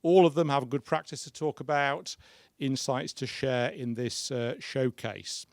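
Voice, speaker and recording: A man chairing a conference session who introduces the speakers in a measured, formal way. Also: mid-range voice, steady tone, no echo, studio quality.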